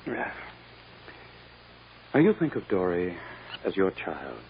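An actor playing a smug, ruthless lawyer in a 1940s radio drama, speaking in a pompous, condescending, deliberate way. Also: male voice, low voice, very animated, slight background noise, heavily acted. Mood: coldly menacing. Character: smug, ruthless, silky male prosecuting lawyer. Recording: muffled old-time radio recording.

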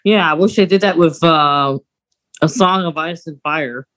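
A woman's voice: low-pitched, unhurried, a bit bright. Playful woman co-hosting a casual podcast. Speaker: podcast co-host. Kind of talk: casual chat about a radio drama.

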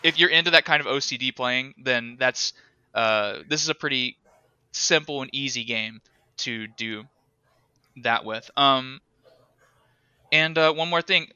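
Bright crisp guy rambling on a podcast, dry close sound, kinda dull. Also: medium pitch, a little slow, pauses often, dry recording. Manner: rambling, animated, laughs often. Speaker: podcast co-host. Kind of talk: casual chat.

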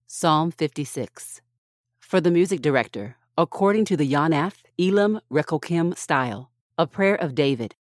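Low-voiced woman reading scripture, dry sound. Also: a little mellow, no room sound. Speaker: podcast host reading scripture.

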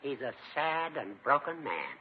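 An actor playing a pompous, sympathetic older small-town judge in a 1950s radio comedy, speaking in flowery, formal language. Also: male voice, medium pitch, big pitch swings, unhurried, breathy edge. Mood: grave, indignant. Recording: muffled, narrow-band 1950s radio recording.

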